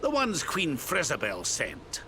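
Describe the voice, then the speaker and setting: A man's voice: on the high side, wildly swooping pitch, slow pace, background noise, full character voice. A man voicing a cocky, sardonic rogue in a video game, with a teasing drawl. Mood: wry, teasing.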